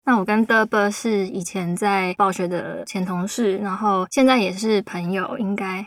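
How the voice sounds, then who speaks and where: A woman's voice: mid-range voice, steady tone, moderate pace, no echo. A woman chatting casually as a guest on a podcast. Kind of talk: casual chat.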